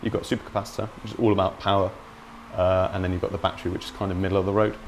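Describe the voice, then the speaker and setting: A man with a deep, low voice talks through details as a developer in an interview. His delivery is informal and enthusiastic, like a relaxed explanatory chat. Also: very fast, a little hiss or hum. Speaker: game developer being interviewed.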